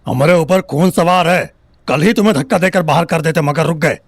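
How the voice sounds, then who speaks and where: A man playing an abusive, furious middle-aged family patriarch in an audio drama, insulting and threatening with a gravelly voice. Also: a little high, fairly quick, no room sound, studio quality. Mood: furious, contemptuous. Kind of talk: Hindi audio drama acting. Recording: music bed under the voice.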